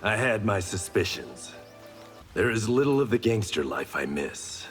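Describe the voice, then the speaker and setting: A man's voice: fairly low pitch, very animated, unhurried, long reverb tail, soft background music. An actor playing a stern, weary, wise ex-gangster swordsman in a video game, grave and restrained.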